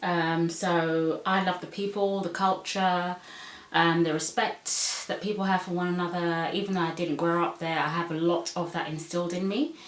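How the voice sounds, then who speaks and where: A woman's voice: fairly low pitch, flat delivery, moderate pace. Chatty vlogger woman, lotta ums, kinda far from the mic. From Zambia. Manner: chatty, many "um"s and "so"s. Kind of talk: casual vlog, reading questions. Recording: echoey room, far from mic.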